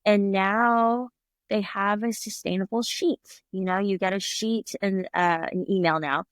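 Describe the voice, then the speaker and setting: A middle-aged woman talks easily at a medium pitch, chatty and quick to laugh. She slips into playful impressions of other people as she tells her stories, and her voice sits close and dry with no room sound. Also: fairly even intonation, no echo. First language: English. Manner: chatty, laughs often, acts out quoted voices. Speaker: restaurant owner, guest. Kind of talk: casual interview conversation.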